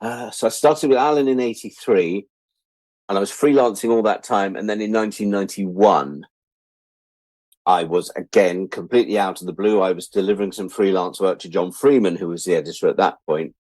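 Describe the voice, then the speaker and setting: An English man in his sixties speaks in a low voice, recorded close and dry. He tells stories casually and with self-deprecation, slipping into acted-out quotes as he goes. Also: brisk, dry recording, low-quality recording. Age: 60s. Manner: animated storyteller, self-deprecating, acts out quoted remarks. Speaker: interview guest, writer and editor. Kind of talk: casual anecdotal interview talk.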